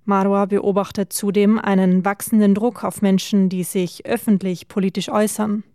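A female reporter reading a scripted news report in a measured, even voice. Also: mid-range voice, no room sound, studio quality.

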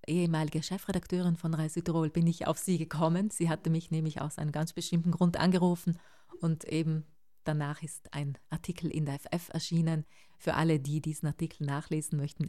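Low, mellow woman interviewer. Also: flat delivery, fairly quick. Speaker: interviewer, journalist. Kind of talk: measured interview hosting.

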